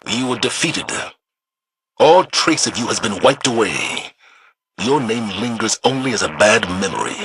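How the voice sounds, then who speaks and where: Very raspy, tough soldier. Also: male voice, lowish voice, sing-song, normal speed, no echo, exaggerated voice, poor audio. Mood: stern. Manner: blunt, forceful. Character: tough, serious male soldier. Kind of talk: dramatic game voice acting.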